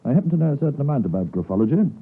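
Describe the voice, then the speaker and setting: A shrewd gentleman who speaks his mind in a 1950s radio play. The voice is husky and very lively in pitch, with articulate, emphatic phrasing. The broadcast sounds old and boxed-in. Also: racing, phone-quality sound, full character voice. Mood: accusing, insistent. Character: probing gentleman friend of the doctor, shrewd and direct. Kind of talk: 1950s radio drama acting.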